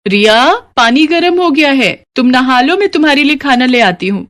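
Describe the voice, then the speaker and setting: Dutiful village daughter-in-law, played by a woman, meek, polite, raised pitch, for a cartoon family tale. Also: lively intonation, moderate pace, a bit bright. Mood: kind. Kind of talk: animated moral story character dialogue.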